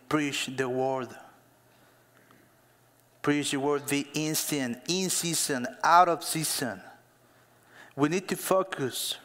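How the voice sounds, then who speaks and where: A man in his thirties preaching a sermon as a pastor, speaking as an in a slow, emphatic way that builds to louder calls to action. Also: on the high side. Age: 30s. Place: church hall. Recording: long hall reverb.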